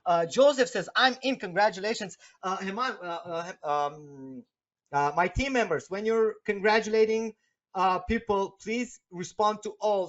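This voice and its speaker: A man for whom English is a second language talks through a live sales webinar, reading out names from the chat and checking in with "okay?". His voice sits a little high, and he talks chattily with lots of fillers. The stream audio is muffled and lo-fi. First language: not English. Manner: chatty and persuasive, reads names from chat, many fillers and tag questions. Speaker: webinar host selling software.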